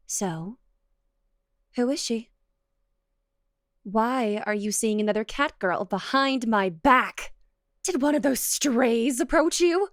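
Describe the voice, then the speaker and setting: A possessive young cat girl, acted by a woman in an ASMR roleplay, jealous and coy as she addresses her partner. Her voice is fairly high and lilting, unhurried in pace, both accusing and affectionate. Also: dry recording, clean studio sound. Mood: suppressed jealous anger. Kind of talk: ASMR roleplay, scripted monologue to the listener.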